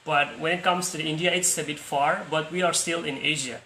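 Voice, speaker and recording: A man's voice: fairly high pitch, fairly even intonation, a little fast, sharp tone, reverberant, slight background noise, poor audio. A young man with an Indonesian accent, hosting a casual practice video call and talking in a hesitant way with frequent restarts. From Palu, Central Sulawesi, Indonesia. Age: young adult. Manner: hesitant, restarts often, laughs easily.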